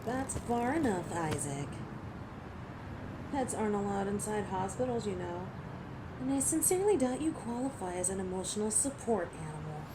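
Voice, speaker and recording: A woman's voice: medium pitch, big pitch swings, normal speed, heavy background noise, lightly acted. A woman playing a defiant, hot-tempered, sarcastic female werewolf who protects her turf in an audio drama. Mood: sardonic. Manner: sardonic, taunting. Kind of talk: dramatic audio roleplay acting.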